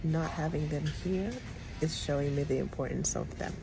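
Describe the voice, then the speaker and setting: A middle-aged woman, a public health educator, talking in an earnest, reflective way with frequent pauses and ums. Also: deep voice, gravelly, noisy background. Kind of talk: personal vlog talk. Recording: music playing underneath.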